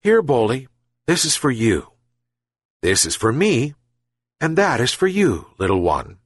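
Guy playing a tired old fisherman, gentle and sad, storybook, husky. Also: mid-range voice, huge pitch swings, unhurried, no echo, heavily acted. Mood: weary. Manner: weary, gentle.

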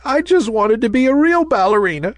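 A man's voice: mid-range voice, wildly swooping pitch, normal speed, husky, no echo, clean studio sound. A man voicing a tiny, earnest toy ballerina girl in a storybook, wistful and polite. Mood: tearful.

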